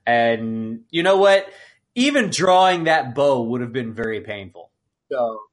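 A man's voice: mid-range voice, expressive, measured pace. A man co-hosting a podcast, casually chatting about a film with wry, incredulous retelling. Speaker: podcast co-host. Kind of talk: casual film review chat.

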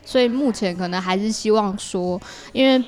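A woman's voice: mid-range voice, moderate pace. A woman with a Taiwanese Mandarin accent talks as an interview guest, explaining casually and thoughtfully, often qualifying and correcting herself.

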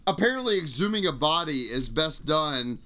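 Man with a tense, bright tone and a raised pitch, dry wisecracks for a podcast. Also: big pitch swings, normal speed. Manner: wry, joking, sarcastic asides. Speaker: podcast co-host. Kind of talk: casual chat.

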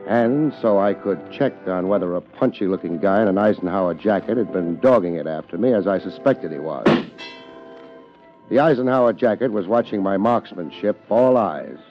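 An American actor playing a wry, world-weary private detective in a 1950s hardboiled radio drama, with deadpan wisecracks. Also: male voice, sits low, moderate pace. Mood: wry narration. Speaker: lead radio actor and narrator. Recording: dull 1950s radio recording, music behind.

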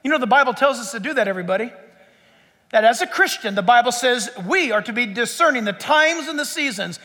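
A man's voice: extremely high pitch, animated, a little fast, sharp tone, long reverb tail. A man preaching a sermon as pastor, with an emphatic pulpit cadence.

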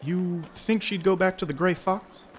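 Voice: mid-range voice, moderate pace, a little hiss or hum, close to an everyday voice. A performer playing an earnest, polite, slightly hesitant adventurer in a fantasy audio drama.

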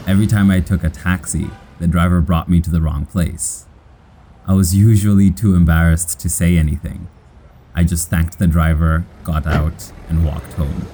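Deep, mellow American-accented man telling a story. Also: a little hiss or hum. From Kenya. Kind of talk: scripted personal narration in short simple sentences.

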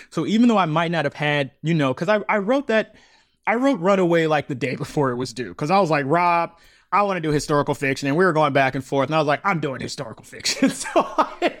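A man talking casually as a podcast guest, animated and laughing often, repeating words as he thinks aloud. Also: fairly high pitch, no echo, clean studio sound. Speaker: podcast guest, audio drama maker. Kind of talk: casual interview chat.

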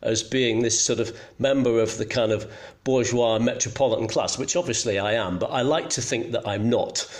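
A man's voice: mid-range voice, normal speed. A middle-aged man from Scotland, telling anecdotes conversationally as a guest, in a self-deprecating way. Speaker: journalist guest.

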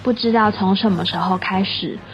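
A woman's voice: medium pitch, fairly even intonation, fairly quick, faint music behind. A woman hosting and narrating a scripted comedic radio skit in storybook style. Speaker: host and narrator, bookstore clerk. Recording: slightly muffled recording.

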